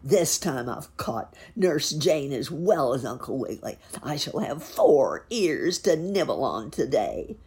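A woman's voice: low-pitched, very animated, a little slow, hardly any pauses, a little mellow, breathy edge, exaggerated voice. A woman voicing a bad old male wolf in a children's story, growling, sneering and taunting. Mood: gloating, hungry.

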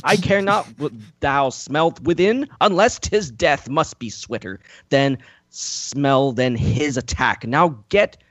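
A man's voice: on the high side, takes it slowly, a bit bright, no echo. A man reading the part of a brash, cocky male smuggler in old-fashioned verse, stumbling over the lines.

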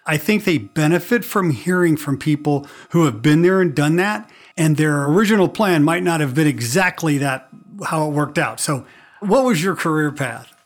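A man's voice: on the high side, lively intonation, normal speed. A middle-aged man from Missouri hosting a podcast interview, chatty with self-deprecating humor. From Missouri, United States. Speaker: podcast host.

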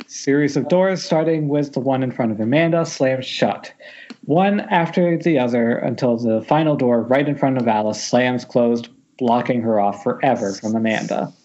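A man with a mid-pitched voice narrates stage directions in an informal, wry way. The audio is dull and lo-fi, like an internet call. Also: moderate pace, darkish tone. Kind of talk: informal script table read narration.

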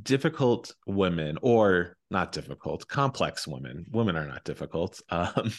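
Deep-voiced man, informal and rambling. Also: lively intonation, moderate pace. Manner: informal, rambling, self-correcting. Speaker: podcast host. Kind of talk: casual conversational book interview.

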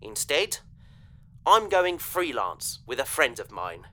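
Young idealistic spaceman for audio drama, bright clear-cut voice, sincere, kinda hesitant. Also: medium pitch, sing-song, slow, full character voice. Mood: earnest, hopeful. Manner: earnest, hesitant. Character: earnest young idealistic male space adventurer.

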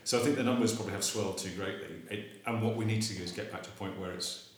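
A man interviewing a farmer, asking thoughtful, probing questions in a relaxed conversational way. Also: fairly low pitch, rapid-fire, very echoey, slight background noise.

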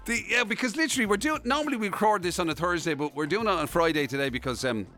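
A man's voice: high-pitched, animated, very fast, pushed and bright, soft background music. An Irish man hosting a radio-style podcast with casual comic banter, chatty and easily amused.

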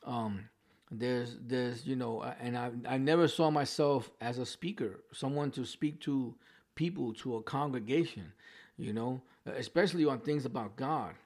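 A man's voice: medium pitch, moderate pace, a little mellow. A man with a New York accent teaching a Bible passage in a loose, conversational way, often restarting his phrases and checking in with "right?" and "you know". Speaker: preacher. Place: home with a dog barking in the background.

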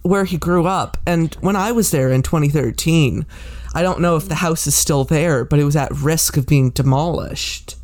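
A podcast host chatting in a casual interview, relaxed and self-deprecating, with the odd swear word. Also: mid-range voice, moderate pace.

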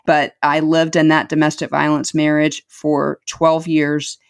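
A woman's voice: low-pitched, level tone, measured pace, vocal fry. A middle-aged woman telling her life story as an interview guest, earnest and reflective, repeating words for emphasis.

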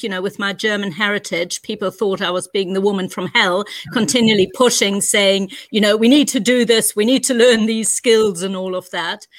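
A woman's voice: medium pitch, fairly bright tone, no room sound. Woman with a New Zealand accent. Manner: articulate, storytelling. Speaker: international school director. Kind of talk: podcast interview.

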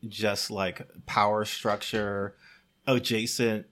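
A man casually breaking down a film in comedic podcast conversation, animated and often acting out quotes. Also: fairly low pitch, slow.

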